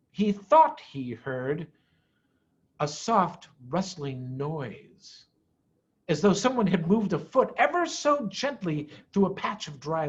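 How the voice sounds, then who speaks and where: A man giving an expressive, dramatic reading of a children's story, with deliberate phrasing. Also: high voice, slightly rough, dull audio. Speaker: head of school reading to pupils.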